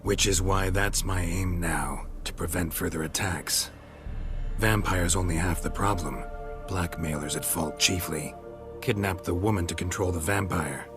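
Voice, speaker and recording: A deep-voiced, blunt monster hunter. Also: male voice, measured pace. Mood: resolute. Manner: terse, clipped sentences, matter-of-fact. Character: weary, pragmatic male monster hunter, calm and blunt. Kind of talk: video game cutscene acting. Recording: dramatic music behind.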